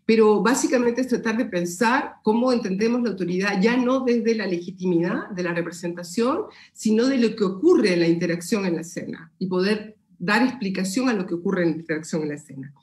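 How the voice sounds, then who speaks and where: A woman's voice: mid-range voice, brisk, hardly any pauses, darkish tone, roomy sound. A Peruvian woman speaking Spanish with a Peruvian accent, giving a thoughtful academic seminar answer with many fillers and restarts. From Peru. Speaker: sociology lecturer answering questions.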